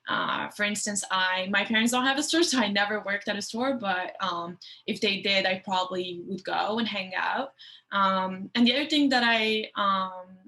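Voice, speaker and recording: Bright-voiced young woman from Morocco. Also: medium pitch, moderate pace. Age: 20s. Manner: relaxed, with many fillers and restarts. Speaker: guest speaker for an education program. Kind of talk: casual talk to students.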